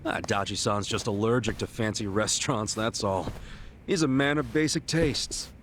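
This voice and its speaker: An actor playing a cocky, good-natured street tough in an English video game dub, talking in a blunt, wry, casual way. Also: male voice, fairly low pitch, normal speed, fairly bright tone, a little hiss or hum, natural voice. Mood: joking.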